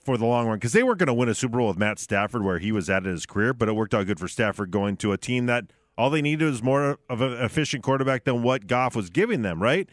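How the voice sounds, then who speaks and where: A man's voice: medium pitch, animated, quick pace, hardly any pauses, no echo. A man co-hosts a casual sports radio show from a studio. His voice sits in the middle of the male range and sounds dry, with little room around it.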